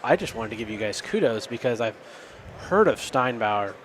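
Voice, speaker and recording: A man's voice: medium pitch, animated, moderate pace. A man who farms, co-hosting a podcast and speaking in a plain, matter-of-fact way. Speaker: podcast co-host, farmer. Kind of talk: casual podcast chat.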